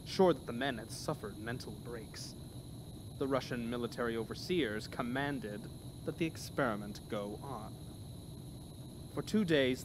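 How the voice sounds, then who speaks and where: A man's voice: medium pitch, noisy background. A man narrating a scripted horror story in a dramatic, theatrical manner.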